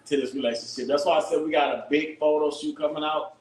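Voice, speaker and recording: A man hosting a live stream, loud and profane, constantly talking to his viewers. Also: on the high side, fairly even intonation, quick pace, echoey room, low-quality recording. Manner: loud, hyped, profane, keeps addressing viewers. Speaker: livestream host. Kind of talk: casual live-stream chat.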